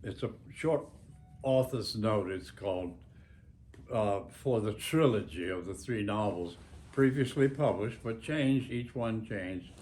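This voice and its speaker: An American man in his nineties, an author reading his own work aloud with a deliberate, halting delivery and pauses between short phrases. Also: on the low side, a little mellow. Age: 90s. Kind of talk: reading an author's note aloud to a small gathering.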